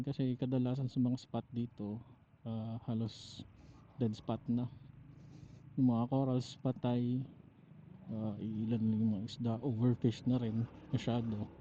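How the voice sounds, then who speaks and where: Through a slightly noisy, muffled helmet intercom, a Filipino man talks at a slow pace. He rambles casually and reflectively, stopping mid-thought before carrying on, like a solo vlogger out on a ride. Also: mid-range voice, warm tone. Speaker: motovlogger and angler. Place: outdoors, riding a motorcycle. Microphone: motorcycle helmet intercom microphone. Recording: dull helmet intercom audio, faint noise.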